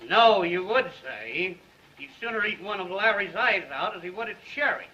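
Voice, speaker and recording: A man's voice: high voice, expressive, moderate pace, bright, forceful tone. A man acting a droll newspaperman with a wry, mock-poetic, grandiose manner in a 1930s film. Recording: noisy dull 1930s film soundtrack.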